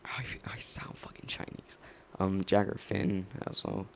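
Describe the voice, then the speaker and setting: A young man rambling on his own late at night, mumbling slowly and halting often with fillers. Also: low voice, completely flat delivery, vocal fry, in a whisper.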